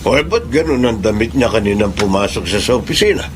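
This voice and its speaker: An older man playing an elderly father who gently scolds his grown daughter in a Tagalog radio drama, expressive and creaky. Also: fairly low pitch, quick pace. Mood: admonishing. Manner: admonishing, expressive. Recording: noisy background ambience.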